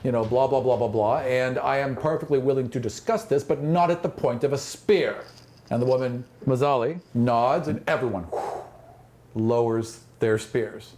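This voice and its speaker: A man's voice: medium pitch, normal speed, darkish tone. A man running a tabletop fantasy game as game master, mixing dramatic, descriptive narration with casual asides. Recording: clear background noise, insect ambience.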